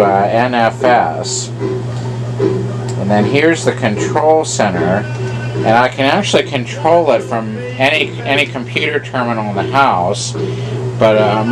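A man's voice: medium pitch, rough recording. Matter-of-fact man with music playing behind him. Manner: explanatory, matter-of-fact, halting. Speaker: computer hobbyist giving a demonstration. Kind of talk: informal technical walkthrough. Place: small cluttered room at home.

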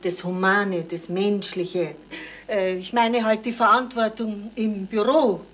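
A woman's voice: medium pitch, steady tone, slow, close to an everyday voice. An actress playing a bossy housekeeping woman in a radio drama, coaxing and persuasive. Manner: persuasive, managing. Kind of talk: German radio comedy-drama acting. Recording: slightly noisy 1970s radio recording.

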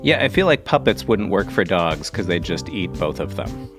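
A man's voice: deep voice, big pitch swings, moderate pace, over music. A man co-hosting a children's podcast with casual, joking banter and quizzical questions. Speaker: podcast co-host.

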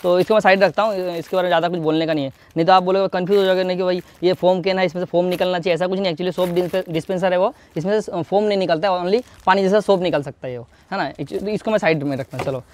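A man's voice: sits high, steady tone, rapid-fire, hardly any pauses. A young man giving a casual unboxing and demo, chatty and talking straight to his viewers, recorded outdoors in a courtyard garden. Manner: chatty, talks straight to viewers, keeps checking in with "hai na". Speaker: product reviewer.

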